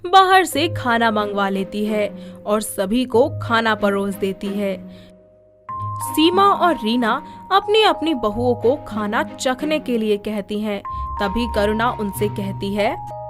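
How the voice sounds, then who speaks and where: A woman's voice: a little high, expressive, slow. A woman narrating a scripted moral family story. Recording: music behind the voice. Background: background music.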